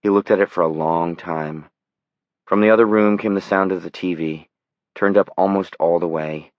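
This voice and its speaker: Male audiobook narrator, low register, dramatic scripted read, dry and slightly dull sound. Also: fairly even intonation, moderate pace, no echo.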